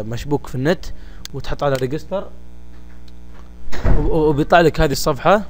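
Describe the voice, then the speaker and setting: A man with a Gulf Arabic accent presenting a software tutorial step by step in an informal, colloquial way, addressing listeners familiarly. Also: fairly high pitch. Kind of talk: casual step-by-step screen tutorial. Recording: home recording with faint background noise.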